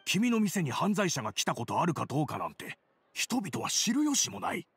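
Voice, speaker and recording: Stern older merchant guy in an anime game, husky and gravelly, cautious, talks quick. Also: on the high side, wildly swooping pitch, fairly bright tone, full character voice. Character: stern older male business partner, cautious and reluctant. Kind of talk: Japanese anime game voice acting.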